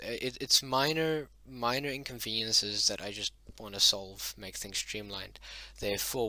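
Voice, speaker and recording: Hesitant man, bright dry close voice. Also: on the low side, dry recording, low-quality recording. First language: Dutch-derived language. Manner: hesitant, many ums and self-corrections. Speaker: hobbyist teaching his invented language. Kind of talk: casual spoken lesson.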